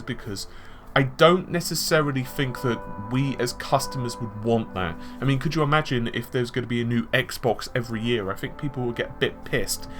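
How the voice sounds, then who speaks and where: A man's voice: mid-range voice, a bit bright. A man giving conversational tech and gaming commentary, explaining his points with examples and asides. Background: background music.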